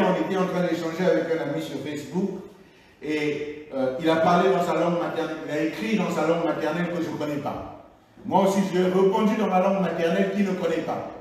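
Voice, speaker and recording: A man preaching a sermon as pastor, emphatic, peppering his talk with alleluias and swinging between loud and hushed. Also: high-pitched. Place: church hall. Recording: echoey church hall, voice from far.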